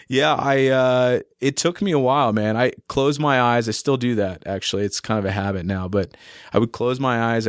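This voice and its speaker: A young man chats loosely in a podcast interview, leaning on fillers like "you know" and "man". His voice sits in a middle pitch. It is recorded close and dry. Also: no echo. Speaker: musician guest. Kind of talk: casual interview chat.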